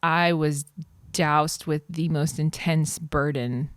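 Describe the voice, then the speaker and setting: A woman from Israel with an American accent speaks in a smooth, clear voice, recorded close and dry. She tells her story as a podcast guest in a casual, animated way, full of fillers and sudden lifts in pitch. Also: takes it slowly, no room sound. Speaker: ministry leader, podcast guest. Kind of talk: casual interview conversation.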